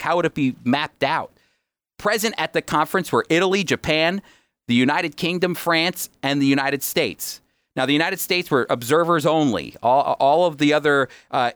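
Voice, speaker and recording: A man's voice: fairly high pitch, a bit bright, no room sound. A man presenting an explanatory lesson, speaking emphatically and directly to his listeners. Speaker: presenter giving a history lesson. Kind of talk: explanatory teaching talk, semi-scripted.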